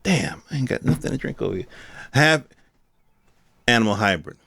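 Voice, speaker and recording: A man's voice: medium pitch, very animated, normal speed, creaky, fairly bright tone, slightly rough, no room sound. A man hosting a podcast, giving a casual, unscripted opinion rant in informal, slangy speech with some swearing and questions thrown at his listeners. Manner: rhetorical questions addressed to listeners. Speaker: podcast host.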